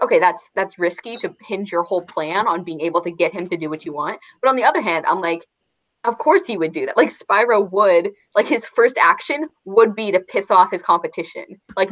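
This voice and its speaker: A woman's voice: medium pitch, fairly bright tone, highs cut off. A woman in a casual, rambling book discussion, peppering her sentences with "like". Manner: rambling, frequent "like". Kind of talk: casual chat about books.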